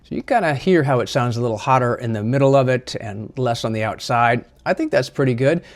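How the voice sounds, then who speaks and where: A man presenting a software tutorial in a casual, chatty, slightly self-deprecating way. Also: mid-range voice, expressive, a little fast.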